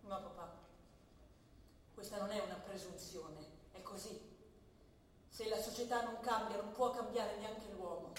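A woman's voice: mid-range voice, steady tone, unhurried, long pauses, lightly acted. A young woman playing a stubborn militant daughter who answers her father in firm, clipped lines. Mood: defiant. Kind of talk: stage drama acting. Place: theatre stage. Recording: distant, echoing stage with long reverb, faint noise.

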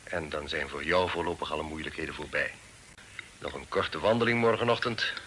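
An actor playing a genial, fatherly older gentleman in a radio drama, speaking formally and courteously. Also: rumbling low, brisk. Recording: older radio recording, faint noise.

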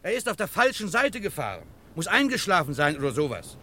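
A high, very animated male voice plays a rattled eyewitness in an old radio thriller. He is agitated and insistent, with faint noise behind him. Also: fairly quick. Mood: shaken, agitated. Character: shaken, excitable male eyewitness to a car crash. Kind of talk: vintage German radio crime play acting.

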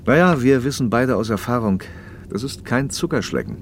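An actor playing a paternal, probing factory manager in a radio play, speaking in a measured, slightly didactic way. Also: male voice, mid-range voice, expressive, a little hiss or hum, natural voice. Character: socialist factory manager, paternal, probing, talkative male official. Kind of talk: naturalistic German radio play dialogue.

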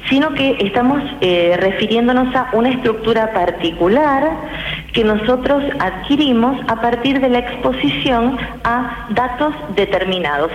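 Woman, academic, measured, hesitant, echoey hall, faint hiss. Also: mid-range voice. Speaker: guest linguist. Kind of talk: explanatory radio interview. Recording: roomy, muffled radio with hiss.

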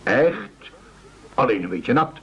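Unflappable postman, husky mid-range voice, lively and polite, muffled radio drama sound. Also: medium pitch, takes it slowly, full character voice. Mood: cheerful, matter-of-fact. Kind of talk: radio play acting. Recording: dull, muffled radio play recording.

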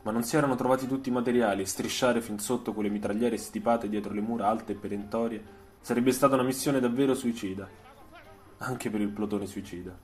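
A man reading a scripted literary story for radio, in a measured, dramatic storytelling way. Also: lowish voice, steady tone, soft background music.

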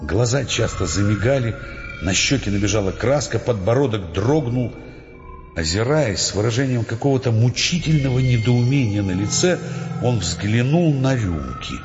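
A male narrator reads dramatised literary prose at a medium pitch with very varied intonation. His voice carries a long reverb, and music plays clearly behind him. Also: lively intonation, moderate pace, a little mellow. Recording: dull sound, long reverb, music underneath.

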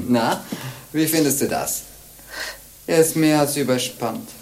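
A woman's voice: deep voice, slow pace, mellow. An actress in a German radio play playing a sly old witch go-between, coaxing and chuckling in a theatrical way. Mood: mocking, amused. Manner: wheedling, chuckling, theatrical swings.

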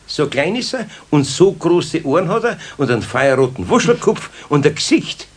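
An actor playing a gruff but kindly older master carpenter in a children's radio play, homely and wry, with a Bavarian accent. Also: male voice, fairly high pitch, big pitch swings, moderate pace. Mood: wry, teasing.

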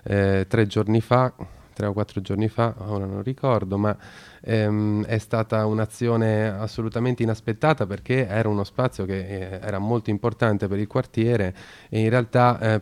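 A man hosting a radio talk, speaking hesitantly with many pauses and filler sounds. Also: fairly low pitch, little pitch movement, darkish tone, clear, resonant tone. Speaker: radio host.

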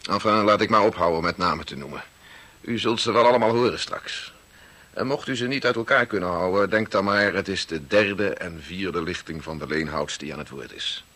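A man's voice: low voice, sharp tone, full character voice. An actor playing an ageing family man who reflectively narrates his clan's chronicle in a 1960s radio drama. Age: older. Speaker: narrator in character.

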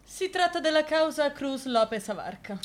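Sly prosecutor, radio drama, fairly high voice, condescending, mocking, theatrical. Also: female voice, expressive, moderate pace. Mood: insinuating. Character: sly, condescending female prosecutor, insinuating and domineering.

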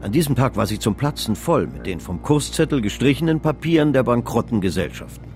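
An actor narrating a literary radio play as a measured, all-knowing storyteller of an old stock exchange tale. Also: male voice, mid-range voice, sing-song, darkish tone, close to an everyday voice. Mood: measured, vivid. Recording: music behind the voice. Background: music.

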